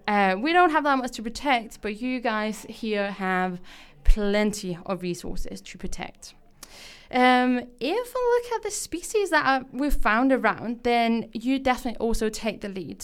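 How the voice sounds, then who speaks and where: A woman's voice: fairly high pitch, clear, resonant tone. A young woman from Denmark with a Danish accent, hosting a podcast in a chatty, enthusiastic way with frequent fillers. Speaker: podcast host. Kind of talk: casual podcast talk.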